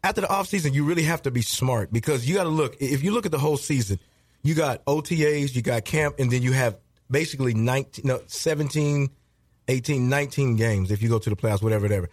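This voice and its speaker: A man, a former pro football player, chatting as a guest in a lively, laughing way. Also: medium pitch, fast, dry recording. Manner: animated, laughs often, Southern-style "y'all". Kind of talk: casual chat.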